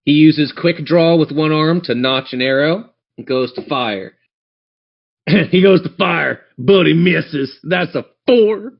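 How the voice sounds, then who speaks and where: A man's voice: on the high side, slow, creaky. Male game master, slightly muffled sound. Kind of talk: improvised tabletop game narration.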